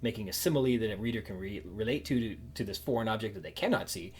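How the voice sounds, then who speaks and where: A man hosting a conversational podcast interview, speaking in a halting, thoughtful, wordy way. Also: on the low side, animated, breathy edge. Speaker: podcast host.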